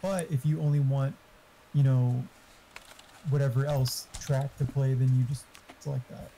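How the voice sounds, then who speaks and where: A man's voice: mid-range voice, steady tone, a little slow, dark tone, slight background noise, poor audio. A man talking casually and informally, explaining technical things to a friend over online voice chat. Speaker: friend giving streaming tech advice.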